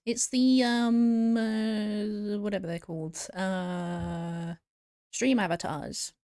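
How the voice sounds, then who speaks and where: A woman streamer chats loosely with her viewers as she sketches, answering questions between giggles. Her voice sits at an everyday middle pitch. The sound is dry, with no room around it. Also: steady tone, takes it slowly, warm tone, dry recording. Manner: chatty, giggly, answering chat. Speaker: VTuber streamer. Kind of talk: casual chat with viewers while drawing.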